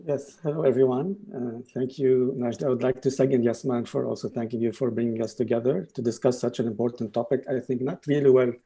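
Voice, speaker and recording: A man speaks as an architect on an online panel, earnest and measured, pausing now and then. His voice is very dark and gravelly. There is a husky edge to it. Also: mid-range voice.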